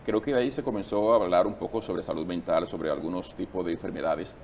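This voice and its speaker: A man hosting a talk show interview, chatting in a lively, conversational way. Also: sits low, fast talker, slight background noise.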